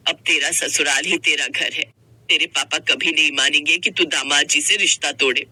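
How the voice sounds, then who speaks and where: A woman voicing a resigned middle-aged mother who lectures her married daughter in a slow, sighing way. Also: deep voice, fairly even intonation, sharp tone, husky, no echo, voice pushed down, exaggerated voice, poor audio. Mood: resigned, pleading.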